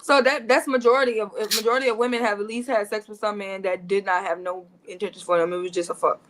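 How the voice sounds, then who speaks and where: A woman's voice: mid-range voice, steady tone, fast talker, fairly bright tone. A woman on a casual podcast panel, speaking bluntly and in slang as she debates.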